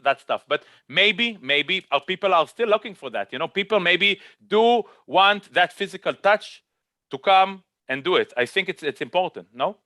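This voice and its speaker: A man's voice: high-pitched, lively intonation, moderate pace, bright, forceful tone, no echo, studio quality. A man moderating a casual online panel, chatty, with many restarts and fillers.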